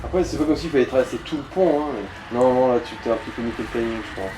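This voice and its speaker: A man's voice: mid-range voice, racing, reverberant, noisy background. A man giving casual, coarse and slangy gaming commentary as he guides another player. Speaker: let's play co-host guiding the player.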